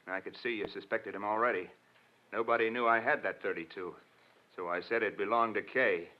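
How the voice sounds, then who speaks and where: A muffled vintage radio recording of a man playing a menacing killer from a 1940s crime drama. His voice sits fairly low, and he taunts and brags with a sneer. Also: steady tone, brisk, natural voice. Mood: gloating menace. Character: menacing, gloating male killer with a gun.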